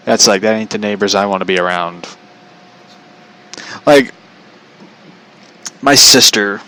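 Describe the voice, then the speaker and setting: A man's voice: medium pitch, fairly bright tone, rough recording. An American man telling stories casually as a show host, rambling in a blunt, slangy, profane way. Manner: chuckles often while talking.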